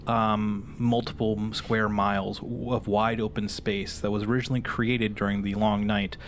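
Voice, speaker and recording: A man working as game master, narrating a fantasy horror tabletop game in a halting, explanatory way. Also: on the low side, little pitch movement, a little hiss or hum.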